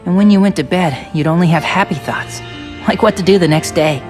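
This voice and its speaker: A woman's voice: fairly low pitch, fairly quick, a little mellow, faint music behind, natural voice. A woman voicing a cheerful, boyish young soldier in a video game, talking in an earnest, informal, chatty way. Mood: chatty, wistful. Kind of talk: English video game voice acting.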